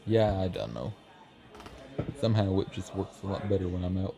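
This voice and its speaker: A man playing a shy, friendly young writer in an ASMR roleplay, chatting bashfully and earnestly, a little hesitant. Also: deep voice. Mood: friendly, reflective. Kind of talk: ASMR roleplay, soft conversational acting. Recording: busy café crowd murmur behind. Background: café crowd chatter.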